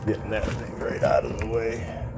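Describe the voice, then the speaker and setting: Deep-voiced man rambling through a car repair. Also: flat delivery, normal speed, background noise. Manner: rambling, apologetic, talks to viewers while working. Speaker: vlogger working on his car. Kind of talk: casual DIY repair vlog. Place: garage or driveway, working on an engine. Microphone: phone camera set near him.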